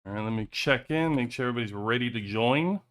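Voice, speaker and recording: A man's voice: mid-range voice, fast, a bit bright. A man hosting a livestream in loose, rambling casual chat with joking asides, talking into a cheap headset. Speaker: livestream host. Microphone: cheap headphones headset.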